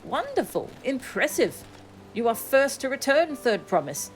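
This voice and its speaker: High-voiced cheerful little creature done by a woman. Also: big pitch swings, measured pace, faint music behind, rough recording. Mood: delighted welcome. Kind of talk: reading game dialogue in character.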